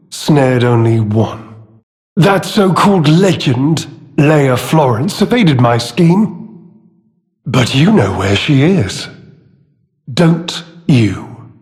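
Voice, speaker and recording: Sly cowardly game villain, fake polite n theatrical, talks real slow, big echoey hall sound. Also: male voice, fairly high pitch, a little mellow. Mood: smug, contemptuous. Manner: theatrical, halting, drawn-out phrasing with mock politeness. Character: scheming, cowardly male informant turned smug, self-serving villain. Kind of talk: video game character dialogue. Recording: echoey hall with long reverb.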